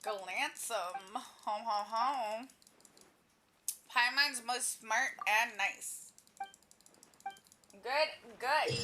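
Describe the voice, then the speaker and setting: A woman's voice: sits high, pushed and bright. A woman livestreaming a game, chatting casually with her viewers in an exclamatory way. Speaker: game streamer. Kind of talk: casual livestream gameplay chat. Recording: game music behind voice. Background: video game music.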